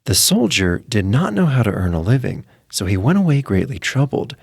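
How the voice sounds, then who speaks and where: A man's voice: mid-range voice, big pitch swings, normal speed, gravelly, darkish tone, husky, no echo, clean studio sound. A man narrating a scripted fairy tale as a storyteller.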